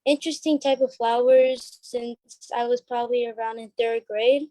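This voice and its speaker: High-pitched, mellow-voiced woman chatting. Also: fairly even intonation, moderate pace, dry recording. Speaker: stage play cast member being interviewed. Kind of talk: casual chat.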